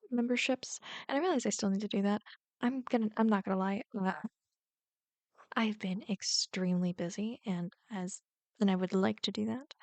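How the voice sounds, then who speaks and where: Casting: female online creator, informal rambling chat, medium pitch with vocal fry, very dry close sound. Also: a little mellow, dry recording. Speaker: ASMR roleplay creator. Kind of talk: casual chat to viewers.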